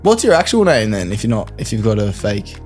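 A man's voice: lowish voice, very animated, a little fast, a little mellow, soft background music. A man asking questions as a podcast interviewer in a casual, easygoing and straight-faced way.

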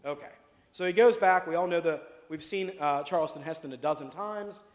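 A pastor preaching a casual sermon, chatty and jokey, full of asides and questions to the congregation. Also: male voice, a little high, brisk. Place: church sanctuary. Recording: echoey hall with long reverb.

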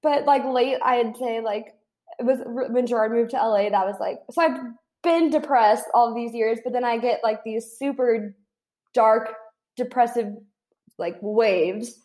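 A woman's voice: fairly high pitch, a little slow, darkish tone. Candid young woman, chatty. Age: 20s. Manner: candid, many "like" fillers. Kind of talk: casual chat.

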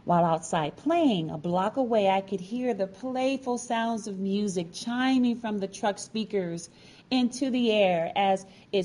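A woman storyteller narrates in a slow, measured way. Her phrasing is deliberate and dramatic, as in a prepared personal story read aloud. Her pitch sits in a middle range for a woman. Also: a little mellow. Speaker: featured storyteller.

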